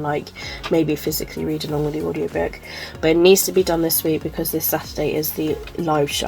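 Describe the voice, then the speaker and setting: A woman's voice: low voice, creaky. A woman chatting casually to camera as a book vlogger, sounding chesty and congested, rambling with lots of ums and likes. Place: at home.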